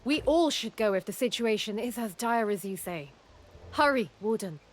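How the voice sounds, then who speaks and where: A woman's voice: fairly high pitch, animated, normal speed. An actress playing an earnest, composed female scholar in a video game, speaking formally. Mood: urgent resolve. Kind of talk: video game character acting.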